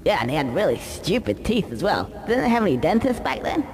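An actor playing a cheeky cartoon squirrel hero in a video game, casual and wry, with a hesitant, flippant, wary way of talking. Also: male voice, sits high, very animated, brisk, crowd noise. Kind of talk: video game cutscene acting.

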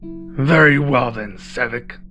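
A man playing a cold, menacing villain overlord in an audio roleplay, speaking imperiously and deliberately. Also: medium pitch, bright, forceful tone. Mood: cold, suspicious. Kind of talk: roleplay ASMR villain acting. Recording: music behind the voice.

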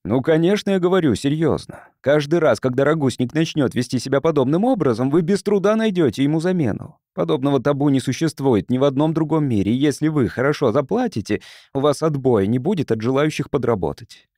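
An earnest, troubled male scientist from Earth, voiced by an audiobook narrator, presses his point in a mellow voice. The recording is dry and the character is insistent. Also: fairly high pitch, lively intonation, a little fast, hardly any pauses, no echo, natural voice.

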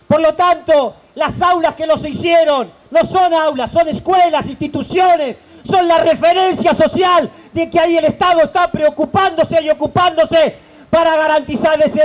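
Impassioned Argentine man shouting at rally. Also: very high voice, low-quality recording. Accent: Argentine Spanish. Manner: impassioned, shouting at times, gesturing. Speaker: union leader. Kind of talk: protest rally speech. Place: outdoor stage under a tent at a rally. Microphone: handheld mic.

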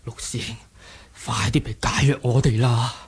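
An actor playing a bewildered, weakened fighter pleading for an antidote in a 1980s radio drama. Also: male voice, mid-range voice, steady tone, normal speed, slightly rough. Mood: weak pleading. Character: poisoned, pleading junior fighter, bewildered and weak.

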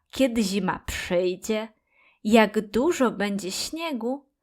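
A woman narrating a scripted story for preschoolers in a calm, gentle manner with careful enunciation. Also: a little high.

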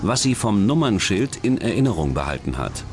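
Low-voiced male true-crime narrator. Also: very animated, a little fast, soft background music. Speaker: documentary narrator.

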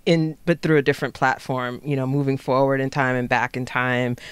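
A woman's voice: a bass voice, level tone, a little fast, smooth, no echo. A middle-aged woman from Florida with an American accent, co-hosting a conversational podcast. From Jacksonville, Florida, United States. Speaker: podcast co-host, author.

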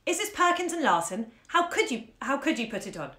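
A woman's voice: mid-range voice, big pitch swings, normal speed, a bit bright. A woman voicing a busy, exasperated mother who scolds sharply and impatiently. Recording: roomy echo.

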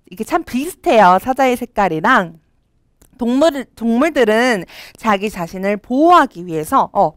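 A young woman teaching children English from a storybook, reading each line slowly and clearly and then explaining it. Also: a little high, fairly bright tone, no room sound, clean studio sound. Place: studio with a green screen.

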